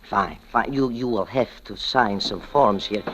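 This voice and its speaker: A man's voice: lowish voice, measured pace, lightly acted. An actor playing an obliging male shop clerk who mutters and repeats himself, in 1940s radio style. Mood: obliging, distracted. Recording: noisy, crackly old radio recording.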